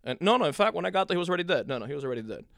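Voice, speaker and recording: A man's voice: on the high side, sing-song, rapid-fire, creaky, a bit bright, dry recording, clean studio sound. A middle-aged man from Cuba in a casual conversation, telling a long story with many restarts and repeated words.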